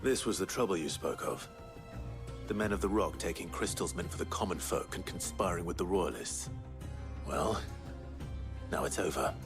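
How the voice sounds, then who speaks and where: A stoic young fantasy game hero with a deep voice. He is brooding, terse and grave, with light music underneath. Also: moderate pace, long pauses. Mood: grim, guarded. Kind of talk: restrained video game acting.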